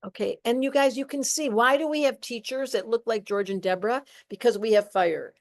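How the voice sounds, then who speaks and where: A woman hosting a podcast, talking in a playful, animated way and acting out little voices as she tells her stories. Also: a little high, a little fast. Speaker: podcast host, astrologer. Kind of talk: casual podcast conversation.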